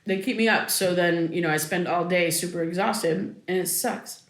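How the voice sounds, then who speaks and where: An actress playing an exhausted, guarded young adult daughter, talking in a halting, casual, defensive way. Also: female voice, fairly low pitch, close to an everyday voice. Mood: tired, defensive. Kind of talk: naturalistic audio drama dialogue. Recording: echoey room.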